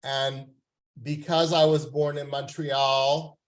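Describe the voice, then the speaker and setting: A man from Canada whose first language is French, reading his poetry at an open mic over a video call in a slow, careful and polite manner. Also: medium pitch, level tone, rough recording.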